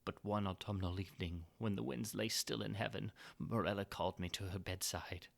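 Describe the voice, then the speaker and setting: A man giving a dramatic reading of gothic fiction, swinging between hushed, brooding passages and impassioned outbursts. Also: lowish voice, fairly even intonation, a little fast, gravelly. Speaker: reader and narrator.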